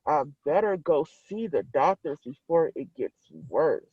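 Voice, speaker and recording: A man's voice: on the high side, very animated, unhurried, husky, no room sound, phone-quality sound, heavily acted. A man voicing a sick, pleading cartoon sponge from a storybook, plaintive and protesting. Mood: pleading, sad.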